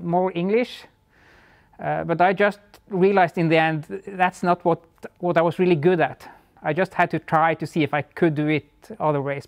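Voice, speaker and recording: A man with a Norwegian accent answers interview questions in a smooth, resonant voice. He talks thoughtfully, restarting phrases as he goes. The sound is dry and close. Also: a little high, no echo. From northern Norway. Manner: thoughtful, frequent restarts and fillers. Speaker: engineer guest. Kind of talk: casual interview talk.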